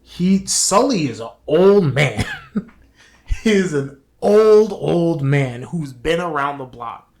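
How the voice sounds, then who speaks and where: A man co-hosting a casual chat show, talking in a slow, laid-back way and often trailing off to restart his sentences. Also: fairly high pitch, big pitch swings, a little mellow, slight background noise. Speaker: podcast co-host. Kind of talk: casual chat reviewing a movie.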